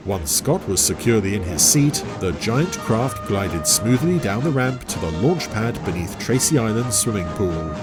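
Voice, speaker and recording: Guy narrating an adventure audio story, lively intonation, music clearly underneath. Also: fairly low pitch, normal speed, hardly any pauses.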